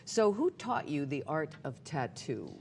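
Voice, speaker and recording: A woman's voice: sits low, very animated, unhurried, creaky, darkish tone, a little hiss or hum. A woman hosting a TV studio interview, asking questions and murmuring agreement as her guest talks. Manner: prompting, many agreeing murmurs. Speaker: talk show host.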